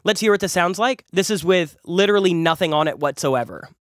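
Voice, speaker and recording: Fast-talking man with a slight cold. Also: high voice. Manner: enthusiastic, chatty, repeats words for emphasis. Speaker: music producer and YouTube host. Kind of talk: casual gear review talk. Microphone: large studio condenser close up.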